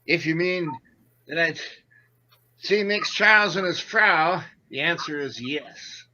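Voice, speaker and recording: A man's voice: fairly high pitch, slow, sharp tone, muffled recording, exaggerated voice. A man playing a blunt, gullible, anxious gangster henchman in a 1940s-style radio play, slangy and eager to please. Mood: deferential, blunt.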